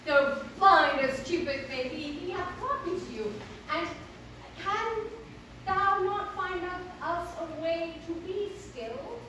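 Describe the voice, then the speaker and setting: A woman's voice: extremely high pitch, slow, pitched above the performer's own voice, full character voice. Woman putting on a grandly melodramatic lady thief, echoey. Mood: dramatic pleading. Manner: loud, grand mock-Shakespearean declaiming, mock strong and scary. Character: melodramatic female thief pleading with her lover. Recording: roomy echo, distant mic, faint noise.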